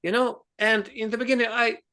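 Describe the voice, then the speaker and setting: A man with a Russian accent, a native Russian speaker, hosting an explanatory talk in English, earnest and halting with frequent fillers and restarts. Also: very high voice, little pitch movement, sharp tone. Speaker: host.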